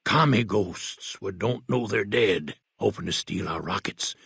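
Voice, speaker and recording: A raspy, paranoid old wasteland crank. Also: male voice, on the low side, a little slow, fairly bright tone, no room sound, studio quality, exaggerated voice. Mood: rambling. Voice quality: folksy drawl, ungrammatical backwoods talk. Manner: suspicious, conspiratorial, jumps between whispers and outbursts. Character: paranoid rambling old male wasteland eccentric. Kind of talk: video game voice acting.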